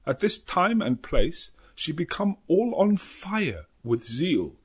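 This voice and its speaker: Earnest old professor, swooping intonation. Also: male voice, a little high, sing-song, slightly rough, voice pushed up, exaggerated voice. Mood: solemn, earnest. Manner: halting, non-native English phrasing, deliberate and dramatic. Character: elderly foreign professor, earnest, grave, devout vampire hunter. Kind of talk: dramatic audiobook narration of a journal.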